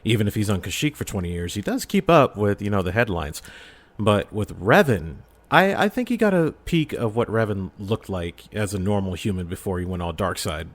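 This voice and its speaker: Low-voiced man chatting casually. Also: animated, a little fast. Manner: playful, slips into exclaimed mock quotes of characters. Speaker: podcast guest, audio drama creator. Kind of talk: casual chat about game lore.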